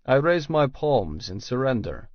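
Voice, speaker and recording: Male audiobook narrator for first-person fiction; medium pitch, dry room, slightly dull recording. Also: big pitch swings, measured pace, no room sound.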